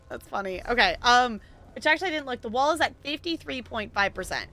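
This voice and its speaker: A woman's voice: a little high, lively intonation, fairly quick, bright, forceful tone. A woman streaming a game, talking casually and rambling with lots of fillers and small laughs. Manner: rambling, chatty, frequent "like" and laughs. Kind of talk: casual gaming stream chat.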